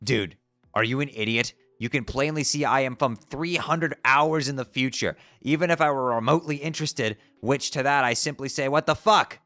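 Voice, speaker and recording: A man voicing an abrasive teenage troll boy who yells insults and swears constantly. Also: medium pitch, moderate pace, no echo, close to an everyday voice. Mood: angry shouting. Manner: yelling, profane, insulting. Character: furious shouting teen troll boy, abrasive. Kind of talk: fan dub of a chat comic.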